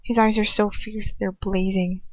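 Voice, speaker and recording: A woman reading a story aloud in the first person with expressive, emotive delivery. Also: medium pitch, normal speed, no echo. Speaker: amateur audiobook reader. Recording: dull, lo-fi close recording.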